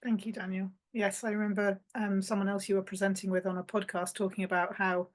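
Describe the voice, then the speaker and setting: A woman's voice: mid-range voice, flat delivery, a little fast. A woman in her thirties moderating an online panel from a home study, talking encouragingly over a video call. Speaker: panel host. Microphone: laptop webcam on a video call.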